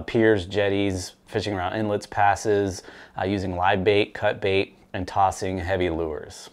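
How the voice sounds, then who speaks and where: Man in his thirties, lowish voice, relaxed talk, casual gear review, filler words. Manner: relaxed, conversational, frequent fillers. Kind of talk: casual product review to camera. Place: small indoor room with rod racks.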